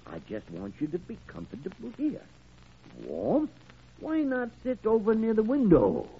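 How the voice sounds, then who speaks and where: Casting: gruff senior physician, older, fatherly and blustery, husky swooping delivery, vintage radio drama on a tinny recording. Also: high-pitched, wildly swooping pitch, normal speed, full character voice. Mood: coaxing. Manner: blustery, commanding, theatrical. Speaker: doctor. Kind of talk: old-time radio drama acting. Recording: tinny, band-limited old radio recording.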